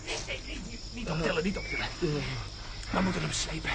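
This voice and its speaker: Astronaut, male: higher-pitched, bitter and commanding, urgent radio-drama delivery over very loud swamp insects. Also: expressive, fairly quick, very noisy. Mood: urgent, strained. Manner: urgent, bossy, sarcastic. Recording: loud insect and jungle sound effects.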